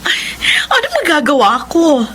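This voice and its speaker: High-voiced desperate lovestruck young woman. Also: sing-song, moderate pace, noisy background. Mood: pleading. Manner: emotional, pleading. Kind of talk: Filipino radio drama acting.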